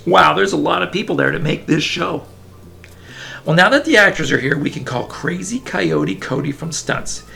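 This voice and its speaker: A man's voice: fairly high pitch, very animated, normal speed, creaky, bright, forceful tone, faint music behind. A man reading a children's story aloud in a playful, enthusiastic way, with casual asides to young listeners. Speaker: children's book reader.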